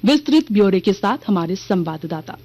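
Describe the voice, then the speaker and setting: A woman reads a scripted regional radio news bulletin in a formal, measured manner. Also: mid-range voice, big pitch swings, a little mellow, no room sound. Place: radio studio.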